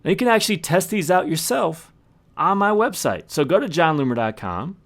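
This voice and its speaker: A man's voice: high-pitched, big pitch swings, fairly quick. A man hosting a podcast, explaining tips in a scripted monologue with emphatic, short punchy phrases. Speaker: podcast host.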